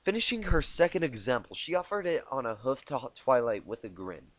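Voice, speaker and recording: A man's voice: medium pitch, big pitch swings, a little fast, no echo. A man reading a story aloud informally, self-deprecating, stumbling and correcting himself as he goes. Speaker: amateur fan fiction reader. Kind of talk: informal storybook narration with asides. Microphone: cheap home microphone.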